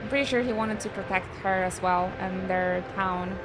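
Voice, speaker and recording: A woman's voice: mid-range voice, fairly even intonation, normal speed, low-quality recording. A young woman from Poland with a Polish accent chats to her viewers while playing through a video game, musing aloud about the characters and story. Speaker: streamer. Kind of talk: live-stream gameplay commentary. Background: game music.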